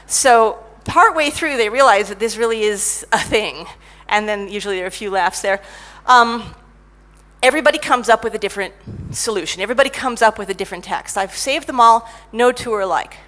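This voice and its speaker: A middle-aged woman giving a conference talk about technical writing, lively and self-mocking as she tells stories. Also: a little high, a little fast. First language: English. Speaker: conference speaker, technical writer.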